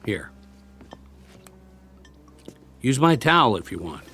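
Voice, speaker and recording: A man's voice: medium pitch, very animated, a little fast, bright, forceful tone, a little hiss or hum. An actor playing a weary, talkative soldier in a radio drama, wry and pleading as he tries to make peace. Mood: subdued, sheepish. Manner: chatty, wry, pleading, sprinkles foreign words. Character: weary, talkative young-ish soldier making peace after war.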